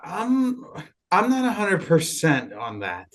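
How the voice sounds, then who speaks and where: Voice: medium pitch, huge pitch swings, slow. An actor in an audio play voicing a dazed, disheveled man who speaks hesitantly and vaguely. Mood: confused.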